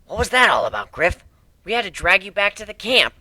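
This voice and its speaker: A man's voice: sits high, sing-song, brisk, sharp tone, no echo, voice pushed up, full character voice. A man voicing a scornful, curt female griffin in a dramatic reading of a fantasy story. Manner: curt, huffy.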